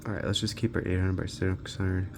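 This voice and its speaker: A man's voice: low voice, little pitch movement, brisk, creaky, noisy background. A man giving casual, off-the-cuff commentary while testing games, muttering and thinking aloud, as a handheld gaming reviewer.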